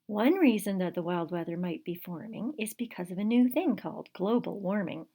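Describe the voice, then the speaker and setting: A woman reading the part of an informative, measured librarian explaining things to a child in a picture book. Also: medium pitch, animated, warm tone, breathy edge. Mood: informing.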